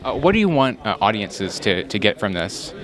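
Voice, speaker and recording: A man's voice: fairly low pitch, sing-song, moderate pace. Male interviewer in a crowded hall. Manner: attentive, polite, frequent backchannels. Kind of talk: casual interview questions. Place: convention in San Diego. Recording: crowd chatter around the voice. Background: clear crowd noise.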